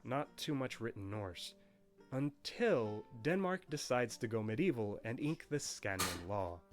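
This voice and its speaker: A man reading a scripted narration with a wry, playful touch, his pitch moving in animated swings. Soft guitar music runs faintly behind him. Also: unhurried. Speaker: narrator of a language history video.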